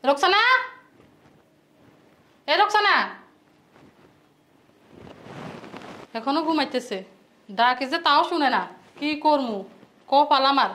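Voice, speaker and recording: A woman's voice: sits high, normal speed, pauses often, a bit bright. A woman with a colloquial Bangladeshi accent playing a quarrelsome, sarcastic wife and nagging sister-in-law in a family drama. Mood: complaining, calling out. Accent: colloquial Bangladeshi Bengali. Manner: sarcastic, complaining.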